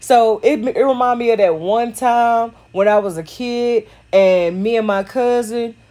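A woman's voice: on the high side, unhurried, mellow. A woman with a Texan accent, chatting casually to viewers as she narrates a nail tutorial. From Texas. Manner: chatty, talks to viewers as y'all and sis.

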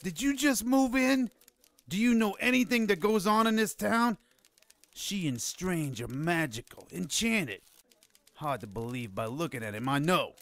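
An actor playing a snide, condescending non-human informant who scorns humans in a radio drama. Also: male voice, sits high, animated, unhurried, fairly bright tone, full character voice. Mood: scornful, mocking. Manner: sarcastic, condescending.

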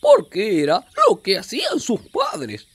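An Argentine actress playing an expressive island guide who explains things to a child in a children's radio play. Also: female voice, mid-range voice, unhurried, slightly rough, full character voice. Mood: gentle, reflective. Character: animated female island guide telling a child about the river.

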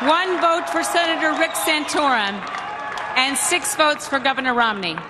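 A delegation spokesperson proudly shouting a roll-call vote announcement. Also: high voice, normal speed, over a noisy crowd, very noisy. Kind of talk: proclaimed roll-call speech. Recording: roaring convention floor.

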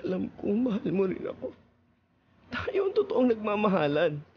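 A woman's voice: medium pitch, wildly swooping pitch, normal speed. A woman acting a desperate, lovesick young male classmate in a radio drama, earnest and pleading. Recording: lo-fi radio broadcast with faint noise.

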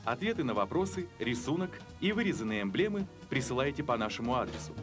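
A man's voice: mid-range voice, very animated, fast talker. A man reading scripted promotional narration for cartoon trailers in an enthusiastic, dramatic announcer style. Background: music.

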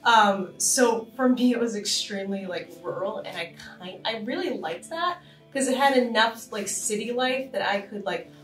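A young woman from Boston on a casual podcast, animated and laughing often as she talks. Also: medium pitch, normal speed, soft background music. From Boston, USA. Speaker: podcast co-host. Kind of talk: casual chat. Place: conference room, indoors. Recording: roomy sound from a distant mic.

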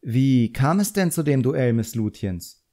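An earnest, proud boy apprentice magician, acted by a man reading an audiobook. He sounds naive and touchy, quick to take offence, with a mellow tone. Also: mid-range voice, expressive, voice pushed up. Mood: puzzled, curious.

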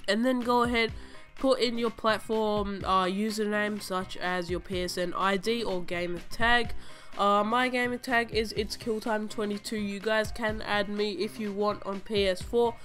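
A woman's voice: medium pitch, steady tone, unhurried, a bit bright, soft background music. A young woman hosting a gaming channel, stuffy from a cold, chattily walking viewers through a game tutorial step by step in a casual voice-over. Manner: chatty, repeating instructions step by step. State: sick with a cold, stuffy-nosed. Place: home voice-over over gameplay footage.

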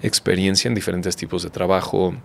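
Low, gravelly Mexican man talking calmly. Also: brisk. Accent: Mexican Spanish. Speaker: guest doctor. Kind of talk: casual interview. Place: dark studio set, indoors. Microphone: studio mic on boom arm.

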